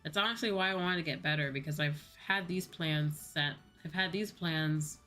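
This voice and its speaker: Young female VTuber, slightly low voice, casual informal stream chat over background music. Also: moderate pace, sharp tone. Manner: chatty, informal, repeats phrases.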